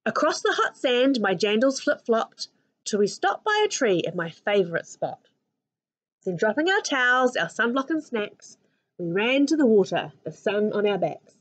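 A woman in her thirties with a New Zealand accent reads a children's picture book aloud with an expressive, sing-song rhyming delivery. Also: a little high, moderate pace. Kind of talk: storybook read-aloud for children. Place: living room at home. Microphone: webcam at arm's length.

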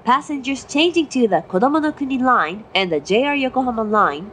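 A woman giving formal, measured railway announcements from a script. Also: a little high, expressive, fairly bright tone. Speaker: train announcer. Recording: noisy onboard train recording. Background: train running noise.

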